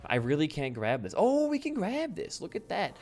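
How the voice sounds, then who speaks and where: Young man, slightly hoarse, laid-back gaming commentary, lively pitch, faint music behind. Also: moderate pace. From Wisconsin, USA. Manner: laid-back, rambling, lots of fillers. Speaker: gaming channel host. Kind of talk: casual gameplay commentary with storytelling.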